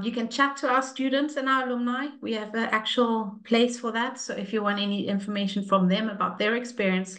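Chatty woman hosting a video webinar. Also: mid-range voice. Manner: chatty, relaxed, many fillers. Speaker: webinar host, enrollment staff. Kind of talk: presenting an online webinar. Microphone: video call.

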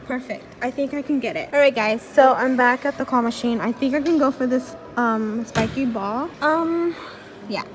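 A young woman vlogging casually in a large indoor mall, chatty and pleading excitedly at a claw machine, recorded on a handheld phone. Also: high-pitched, moderate pace, background noise. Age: young adult. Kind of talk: casual vlog commentary. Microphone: phone camera at arm's length.

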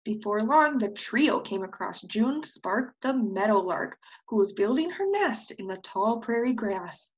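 A woman's voice: fairly high pitch, animated, unhurried, hardly any pauses, breathy edge. A young woman teacher reading a children's storybook aloud expressively and prompting with questions, recorded on a computer microphone. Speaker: preschool teacher. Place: indoors on a screen-share video call. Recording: slightly dull webcam audio.

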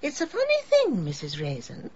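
A woman's voice: high voice, wildly swooping pitch, normal speed, darkish tone, husky, dull audio, exaggerated voice. An actress playing a nosy, grand English village lady in a radio drama, pointed and archly polite. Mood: prying.

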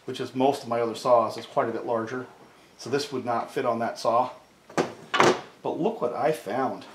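A middle-aged man casually explaining repair steps at his workbench, often asking "right?" as he talks, recorded by a camera mic in a cluttered home workshop. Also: mid-range voice. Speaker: chainsaw repair video host. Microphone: camera mic on a tripod, speaker at the workbench. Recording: echoey workshop with background noise.

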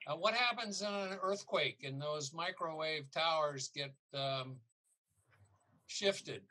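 A man's voice: fairly high pitch, takes it slowly, pushed and bright. A man casually asking a question on a video call. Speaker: audience member asking a question.

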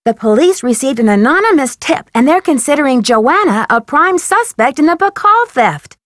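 A woman's voice: sits high, big pitch swings, moderate pace, fairly bright tone, dry recording, studio quality. An actress playing a polite, inquisitive young female detective in a video game, courteous and articulate as she probes. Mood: probing, concerned.

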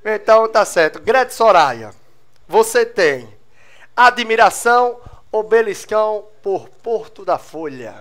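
Young man, talk show host, teasing, jokey, higher voice, small studio. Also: very animated, measured pace, a bit bright. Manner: teasing, jokey, laughs often. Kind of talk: lively talk show interview. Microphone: handheld microphone.

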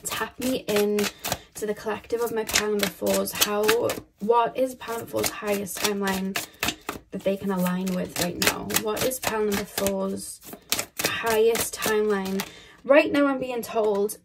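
A young woman giving a casual tarot reading, rambling and repeating herself, her voice a little croaky. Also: mid-range voice, fairly even intonation, moderate pace, heavy background noise. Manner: chatty and rambling, repeats phrases, many fillers. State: slightly croaky voice. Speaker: tarot card reader. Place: indoors at a table, camera overhead.

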